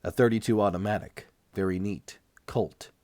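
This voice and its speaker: Low-pitched man narrating a novel, very dry. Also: fairly even intonation, moderate pace, darkish tone, no room sound. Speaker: amateur audiobook reader. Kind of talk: first-person novel narration.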